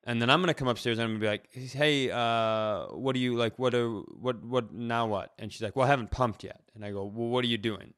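A man's voice: mid-range voice, quick pace, hardly any pauses, clear, resonant tone, dry recording, studio quality. A middle-aged man co-hosting a comedy podcast, telling stories casually, swearing freely and acting out quotes. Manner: animated retelling with acted quotes, casual swearing. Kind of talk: casual comedic storytelling chat.

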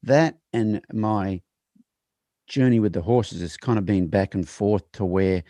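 A middle-aged man, a horse trainer, talking in a casual interview with halting restarts. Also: on the low side, warm tone, no room sound.

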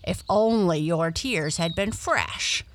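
A woman voicing a talking male frog in a children's story, fussy, excitable and given to formal grumbling. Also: lowish voice, slow pace, slight background noise. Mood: gloomy, grumbling. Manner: formal, complaining, bossy.

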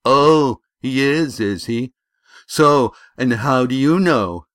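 A man voicing a loud, bullying, heavy-set village boy in a storybook, with rough, taunting speech. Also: mid-range voice, slow, dry recording, studio quality, voice pushed up. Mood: jeering. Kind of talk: storybook character voice.

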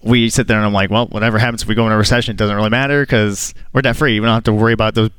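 A man's voice: lowish voice, steady tone, very fast, a bit bright, clear, resonant tone, dry recording. A man of thirty telling an eager, rambling story of paying off his home as a guest on a call-in show. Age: 30s. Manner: eager, rambling, repeats himself. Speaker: caller sharing a debt-free story. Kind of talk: casual chat.

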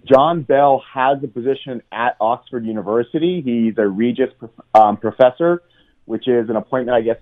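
An American man, a journalist guest, explaining at length in an earnest way, with many ums and restarts, speaking over the phone on talk radio. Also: mid-range voice. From United States. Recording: tinny phone line.

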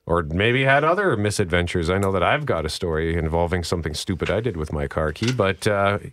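A man's voice: sits low, lively intonation, a little fast, a bit bright. A man with a Canadian accent talking casually as a co-host on a radio morning show.

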